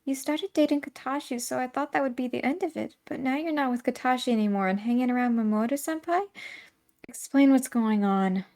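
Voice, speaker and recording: A woman reading the part of a stern, suspicious high school girl, demanding and no-nonsense. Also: a little fast, a little mellow.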